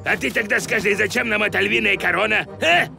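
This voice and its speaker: Gravelly, sly porcupine rascal. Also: female voice, mid-range voice, a little fast. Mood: grumbling, wheedling. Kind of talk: animated children's cartoon acting. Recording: music behind the voice.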